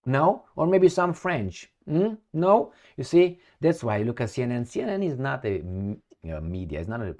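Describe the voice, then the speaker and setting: A man's voice: medium pitch, very animated, normal speed, few pauses, darkish tone. A middle-aged man from Romania with a Romanian accent, ranting as a political commentator, sarcastic and profane and full of rhetorical questions.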